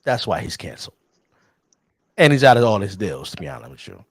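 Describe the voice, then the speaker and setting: A man co-hosting a casual sports podcast, talking in an animated, teasing way and chuckling often. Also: fairly low pitch, brisk, husky, no room sound, clean studio sound. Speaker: podcast co-host.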